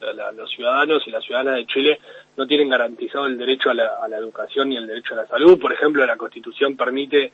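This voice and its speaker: A man explaining news analysis to a radio host as correspondent, steady and with frequent restarts. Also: medium pitch, fast, slightly rough. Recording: phone-line call into radio.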